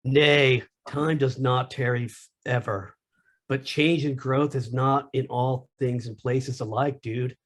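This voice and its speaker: A man's voice: mid-range voice, steady tone, takes it slowly. A man voicing a laid-back philosophical elf with a drawling, stoner-like way of musing in a comedic table read. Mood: mellow musing.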